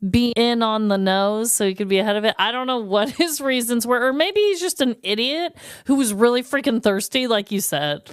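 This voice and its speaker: A woman's voice: on the high side, expressive, moderate pace, hardly any pauses, smooth, no echo, clean studio sound. An American woman co-hosting a podcast in casual banter, with an emphatic, playfully exasperated way of talking. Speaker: podcast co-host.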